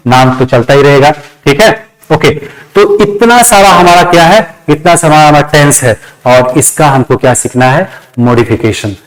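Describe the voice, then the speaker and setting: Man, Indian accent, fairly high pitch, classroom explanation, repetitive phrasing, rhetorical questions. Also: rough recording. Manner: instructive, repeats key phrases, asks and answers own questions. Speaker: English grammar teacher. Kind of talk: classroom lesson, step-by-step explanation.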